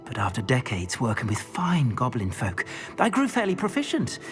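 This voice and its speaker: An actor playing an anxious, earnest older wizard, a metal trader in a video game. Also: male voice, medium pitch, normal speed. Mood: rueful, reflective. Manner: earnest, expressive, rueful. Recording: game music behind the voice.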